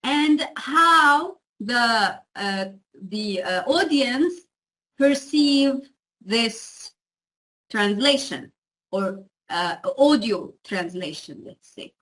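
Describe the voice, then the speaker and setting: A woman's voice: a little high, expressive, very slow, bright, forceful tone, low-quality recording. A woman giving an explanatory lecture to students, often checking in with her listeners. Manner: frequent check-ins with listeners.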